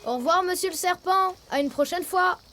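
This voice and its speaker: A woman voicing a timid, grumbling but loyal young animal cub in a children's cartoon, whiny and expressive, with music behind. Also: very high-pitched, moderate pace. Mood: nervous.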